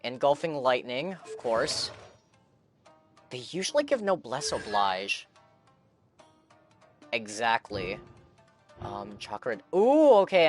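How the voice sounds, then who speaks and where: A young man giving casual live commentary while streaming a game and chatting with viewers. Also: mid-range voice. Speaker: game livestreamer. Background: video game music.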